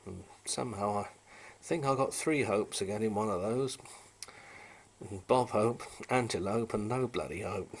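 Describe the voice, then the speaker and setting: A man's voice: lowish voice, a little slow. A British man casually talking through a repair, unscripted, in a rambling, wryly understated way. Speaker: hobbyist repairer and presenter. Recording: noisy amateur camera sound.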